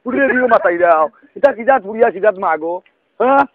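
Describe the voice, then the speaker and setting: A man's voice: high-pitched, animated, fast talker. A man with a Sylheti accent in a heated telephone argument, exasperated and rambling, often punctuating his scolding with questioning grunts. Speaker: prank call participant. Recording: thin noisy phone line.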